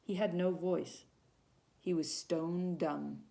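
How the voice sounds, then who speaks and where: A woman volunteer reads a children's picture book aloud in an expressive, storytelling manner. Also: lowish voice, slow pace, gravelly, noisy background. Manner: dramatic emphasis on feelings, with short asides to young listeners.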